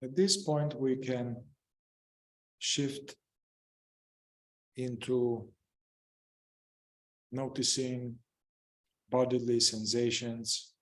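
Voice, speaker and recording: Male meditation guide, mid-pitched, very slow and gentle, halting phrases with long pauses. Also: flat delivery. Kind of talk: guided meditation, improvised spoken instruction.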